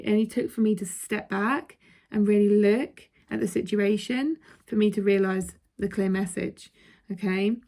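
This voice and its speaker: A woman with a croaky throat talks viewers through a card reading in a mellow, relaxed tone. Her delivery is casual and chatty, with frequent "okay?" check-ins. Her pitch sits in an ordinary middle range. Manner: chatty, checks in with "okay?", laughs between points. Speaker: intuitive card reader.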